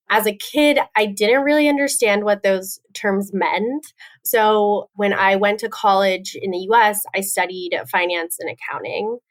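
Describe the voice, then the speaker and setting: A young woman who grew up in Texas, giving orderly, clear answers as a podcast guest. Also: medium pitch. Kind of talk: interview answers.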